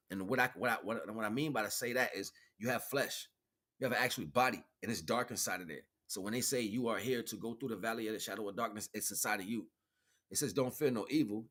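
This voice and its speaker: Male spiritual teacher, fairly low register, emphatic and colloquial, unscripted lecture with repeated key phrases. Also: fairly bright tone. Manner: colloquial, checks in with rhetorical questions. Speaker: spiritual teacher, livestream host. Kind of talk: improvised spiritual lecture, streamed.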